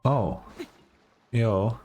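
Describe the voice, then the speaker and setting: Slow-talking offhand male game streamer. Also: mid-range voice, a little mellow, slight background noise. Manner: offhand, muttering reactions, swearing. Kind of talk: casual gameplay commentary.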